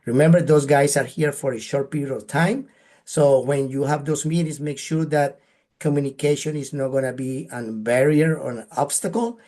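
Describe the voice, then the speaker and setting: A man's voice: medium pitch, normal speed, mellow. A man from Colombia with a Colombian Spanish accent, presenting practical advice in a webinar in an earnest manner, often ending points with a questioning tag. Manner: earnest, advice-giving, frequent "right?" tags. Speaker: guest speaker.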